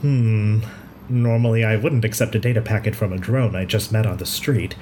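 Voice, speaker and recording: An actor, a man, playing a kindly, brisk and chatty pathologist in an audio drama. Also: fairly low pitch, steady tone, mellow, a little hiss or hum, lightly acted. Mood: curious, concerned. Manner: chatty, considerate, thinks aloud. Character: kindly, brisk hospital pathologist, nonbinary, friendly and curious.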